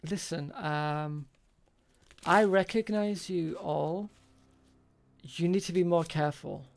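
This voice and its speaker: A game master with a creaky voice and a hesitant delivery, improvising tabletop roleplay as a shopkeeper. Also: mid-range voice, takes it slowly.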